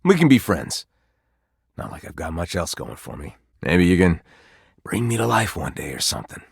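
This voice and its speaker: A male actor playing a jaded, brooding, ordinary man in a video game, casual, weary and sardonic, with a low husky voice. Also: big pitch swings, normal speed, no room sound, studio quality, heavily acted. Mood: brooding, deadpan. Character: jaded, brooding, foul-mouthed ordinary man, the candelabra's gruff alter ego. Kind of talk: video game character acting.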